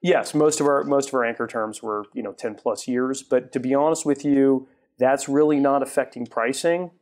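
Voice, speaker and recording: Gravelly man in a studio interview. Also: mid-range voice. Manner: halting restarts, then steady explanation. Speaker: guest, retail property developer. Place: TV studio set. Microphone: lapel.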